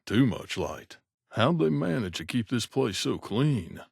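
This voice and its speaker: An actor playing a wry, gruff mercenary companion in a video game, speaking in a conversational, grumbling way. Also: male voice, mid-range voice, sing-song, a little slow, darkish tone, no room sound, clean studio sound, exaggerated voice. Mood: wary grumbling. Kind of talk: game character voice lines.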